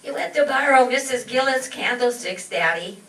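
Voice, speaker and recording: An actress playing a polite teenage daughter in a 1940s-style radio sitcom. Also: female voice, on the high side, expressive, normal speed, fairly bright tone. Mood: chirpy. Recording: echoey room, distant mic.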